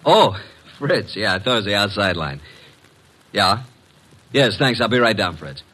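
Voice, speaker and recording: Low-voiced wisecracking detective's sidekick played by a man. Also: animated, normal speed, close to an everyday voice. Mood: impatient. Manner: impatient, sarcastic, breezy wisecracks. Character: brisk, wisecracking, flirtatious male detective's assistant. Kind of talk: 1940s-50s American radio drama acting. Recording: noisy old radio recording.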